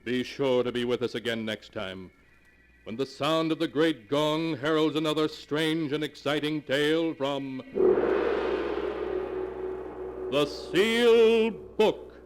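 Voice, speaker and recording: An actor playing a theatrical, portentous teller of sinister tales, hosting a 1940s radio horror show. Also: male voice, fairly high pitch, unhurried, over music, lightly acted. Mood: excited, theatrical. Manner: dramatic, portentous. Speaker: narrator and host of horror anthology. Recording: vintage 1940s radio broadcast.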